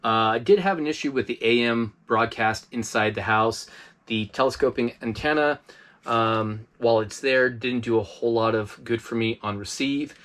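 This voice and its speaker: A man's voice: medium pitch, normal speed. A man talks through a gadget review in a loose, easygoing way, full of ums and uhs. His voice sits in a middle register, and he sounds like he is chatting to his viewers from inside an RV. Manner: relaxed and chatty, frequent fillers. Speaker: host of a preparedness review channel. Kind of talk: casual product review.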